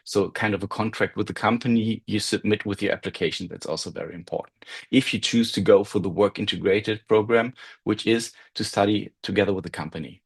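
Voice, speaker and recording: Husky man, low voice, patient explainer on a video call. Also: a little fast. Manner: explanatory, checks in with listeners. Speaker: university presenter. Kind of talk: online info session presentation.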